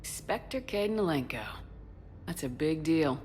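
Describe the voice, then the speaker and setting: A woman playing a composed, resolute military commander in a video game, direct, steady and supportive. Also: deep voice, measured pace, slight background noise. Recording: reverberant hall, music underneath.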